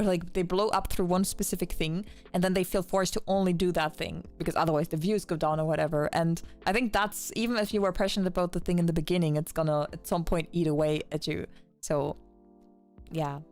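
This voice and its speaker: A woman co-hosting a casual streamer podcast, candid and reflective, laughing often. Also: fairly low pitch. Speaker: VTuber podcast co-host. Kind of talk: casual livestream podcast chat.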